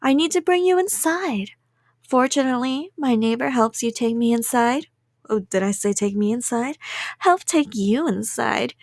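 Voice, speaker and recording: A woman's voice: a little high, lively intonation, moderate pace, no echo, studio quality. A woman playing a cheerful, delusional, obsessive kidnapper in a rambling ASMR roleplay, sweet and playful and drifting into whispers. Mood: breezy rambling.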